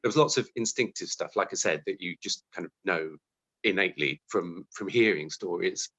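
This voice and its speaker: Man, British accent, lowish voice, chatty, self-deprecating, interview guest. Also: moderate pace, bright, forceful tone. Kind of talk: conversational interview answers.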